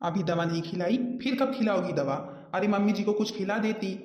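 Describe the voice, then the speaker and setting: A woman's voice: mid-range voice, brisk, a little mellow, natural voice. Woman as echoey, stern scolding relative. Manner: scolding, insistent. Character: stern, scolding adult relative of a village household. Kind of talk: Hindi comedy cartoon dialogue. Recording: echoey, dull lo-fi sound.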